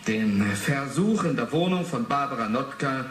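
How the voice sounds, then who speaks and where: An actor playing a formal, sceptical investigator in a radio drama, matter-of-fact and clipped. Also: male voice, medium pitch, expressive, normal speed, natural voice. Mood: probing. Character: sober, probing official investigator, formal and sceptical. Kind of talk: 1980s German radio drama acting. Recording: roomy sound, faint noise.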